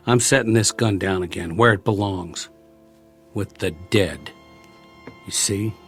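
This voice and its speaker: An actor playing a weary, talkative survivor soldier in a radio drama, with a gravelly voice and a wry, folksy, rambling way of speaking. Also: male voice, on the low side. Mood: resigned, wry. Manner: folksy, rambling, colloquial monologue delivery.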